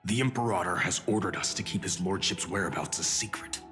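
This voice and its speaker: An actor playing a proud, haughty military officer in a fantasy video game, speaking in a formal, pompous way. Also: male voice, low voice, fairly quick, pushed and bright. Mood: flustered. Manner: formal, pompous, easily flustered. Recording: long reverb, music underneath.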